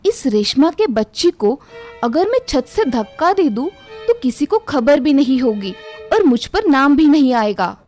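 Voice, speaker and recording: A woman playing a dramatic, scheming, complaining Indian mother-in-law in an animated family story. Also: sits high, a little slow, faint music behind. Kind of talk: animated Hindi moral story dialogue.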